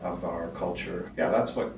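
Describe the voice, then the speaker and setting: A man's voice: deep voice, steady tone, normal speed, vocal fry. A man in his fifties, answering interview questions in a thoughtful, hesitant way. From Canada. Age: 50s. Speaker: guest, co-founder. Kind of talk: casual interview. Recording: roomy, distant mic, background noise.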